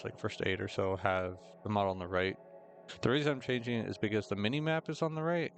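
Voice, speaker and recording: A young man with a slightly hoarse, rough throat, chatting casually and rambling through gameplay commentary with many fillers and self-corrections. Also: on the low side. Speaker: gaming video host. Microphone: desk mic with noise gate.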